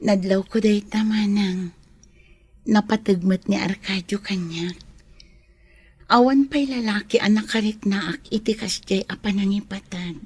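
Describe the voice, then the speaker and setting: A woman with an Ilocano accent playing a gentle, wistful lady of the house in a radio drama, kind but firm. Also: mid-range voice, unhurried, husky. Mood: tender, wistful.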